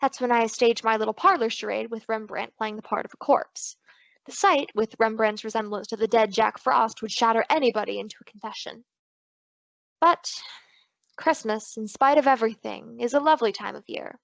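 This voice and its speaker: Confident, playful female private eye, played by an actress for old-time radio; highish voice with a gravelly fry. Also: moderate pace, fairly bright tone, dry recording. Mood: warm, playful. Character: confident, playful woman private detective narrating her case. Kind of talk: old-time radio detective drama acting.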